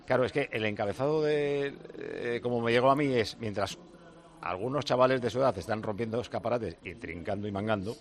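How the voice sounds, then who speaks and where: A man's voice: mid-range voice, fairly quick. Informal Spanish male radio host. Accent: Spanish from Spain. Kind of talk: casual radio chat and interviewing.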